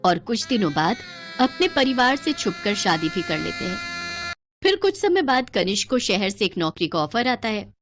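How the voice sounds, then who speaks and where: High, animated woman storyteller. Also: moderate pace, slight background noise. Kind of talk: dramatic scripted cartoon narration.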